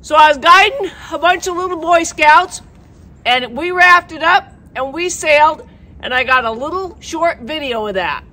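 A woman's voice: high voice, lively intonation, normal speed, sharp tone. A woman canoe guide and show host, talking outdoors in an emphatic, folksy way that keeps checking in with "okay?". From Maine. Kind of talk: outdoor instructional talk to camera. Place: outdoors by the water.

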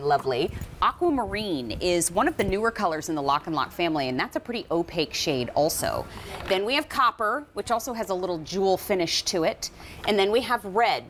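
Casting: middle-aged woman, TV shopping host, animated pitch, upbeat pitch, faint studio hiss. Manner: upbeat, enthusiastic sales patter. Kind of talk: live home shopping presentation. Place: TV studio kitchen set.

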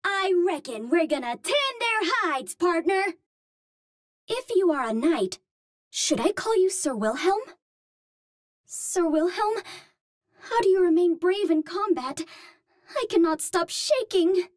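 A woman's voice: very high-pitched, animated, takes it slowly, pauses often, no echo, clean studio sound, heavily acted. An actress voicing a cute, earnest young Martian space heroine in a video game, with a light girlish voice and precise, formal wording. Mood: curious, earnest. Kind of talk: video game hero voice lines.

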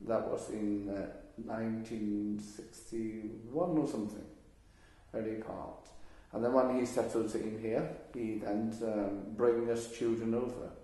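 An older man speaks in a fairly low, mellow voice. He answers haltingly, pausing on fillers and restarting his sentences. The recording is very echoey, as if made in a bare room. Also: fairly even intonation. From Hong Kong. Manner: hesitant, many fillers and restarts. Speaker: interviewee. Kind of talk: oral history interview.

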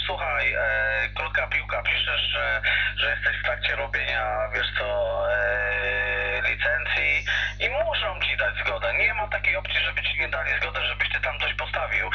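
A man's voice: medium pitch, creaky. A man chatting casually over CB radio in a rambling, hesitant way. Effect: CB radio transmission. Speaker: CB radio operator. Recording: muffled, hissy CB radio with hum.

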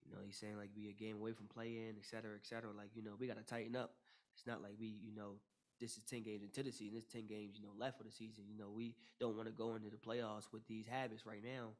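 Young man, flat postgame answers. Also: on the low side, fast talker. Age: young adult. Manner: flat, steady delivery full of "you know" fillers and repeated starts. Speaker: basketball player at postgame press conference. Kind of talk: postgame press conference answers. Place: press room with logo backdrop. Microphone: table mic in front.

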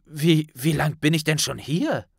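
A man's voice: on the high side, unhurried, vocal fry, breathy edge, dry recording, studio quality, voice pushed up, heavily acted. A man voicing an injured young wizard, bitter, impatient and intense, in a fantasy audiobook. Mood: agitated.

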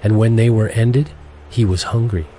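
Low-voiced man narrating scripture. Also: steady tone, normal speed, dark tone, slight background noise.

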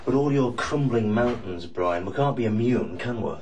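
A male actor plays a younger servant in a radio drama. He is irreverent, probing and a bit uneasy, in a mid-pitched voice over faint ambient noise. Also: expressive, normal speed, close to an everyday voice. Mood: wry, uneasy.

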